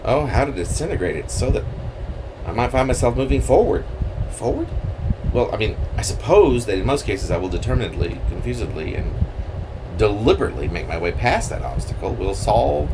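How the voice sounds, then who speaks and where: Guy reading his poem out loud, kinda low voice, real theatrical and halting, noisy hum behind him. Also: sing-song. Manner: theatrical, halting, with swooping emphasis. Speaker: poet reading own poem. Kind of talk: dramatic poetry reading.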